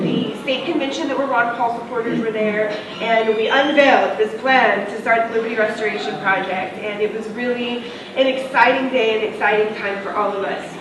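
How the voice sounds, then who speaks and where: A woman from Missouri speaks to an audience with a stuffy nose and a scratchy throat, her delivery loose and full of fillers. The recording is distant and echoey, caught from across a large room. Heavy noise hisses underneath. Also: on the high side. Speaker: activist giving a talk. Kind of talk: public talk to a live audience. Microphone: distant microphone.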